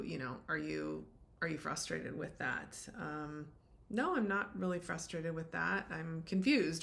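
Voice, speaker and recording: A middle-aged woman co-hosting a podcast, talking in a reflective, conversational way and often affirming with soft murmurs. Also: sits low, gravelly. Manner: reflective, many affirming mm-hmms. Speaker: podcast co-host.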